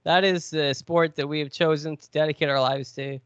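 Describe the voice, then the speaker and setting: A man's voice: a little high, fairly even intonation, a little fast, fairly bright tone, clear, resonant tone, dry recording, muffled recording. A man with a cold, sniffly, chatting and joking as he hosts a podcast quiz. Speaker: podcast co-host. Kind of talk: casual podcast chat.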